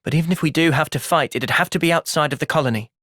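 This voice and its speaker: A man voicing an earnest, thoughtful young fighter in a video game, measured and sincere but capable of impassioned intensity. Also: fairly high pitch, a bit bright, dry recording, studio quality, lightly acted. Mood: thoughtful, steady. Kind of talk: video game cutscene voice acting.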